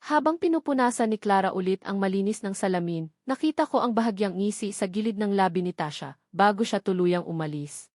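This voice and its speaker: A woman's voice: mid-range voice, expressive, brisk, a little mellow, no echo. Woman narrating a dramatic story, dry recording. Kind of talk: dramatic scripted first-person story narration.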